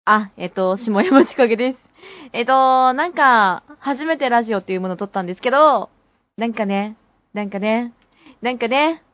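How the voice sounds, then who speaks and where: A woman's voice: on the high side, normal speed, dry recording. A woman appearing as a guest on a casual radio show, playfully chiming in. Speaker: guest voice actor. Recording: muffled lo-fi, close dry sound.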